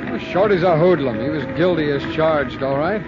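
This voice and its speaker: An actor playing a guarded city attorney who speaks wryly and defensively in a 1950s radio drama. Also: male voice, on the high side, moderate pace, over music, close to an everyday voice. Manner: wry, defensive. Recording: dull vintage radio recording with hiss.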